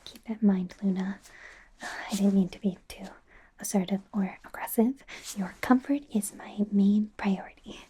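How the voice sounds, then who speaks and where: A woman's voice: medium pitch, moderate pace, a little hiss or hum, lightly acted. A woman playing a nervous, friendly female werewolf doctor in a whispered ASMR roleplay, hesitant, stammering and apologetic. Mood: gentle, apologetic. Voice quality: soft, breathy whisper.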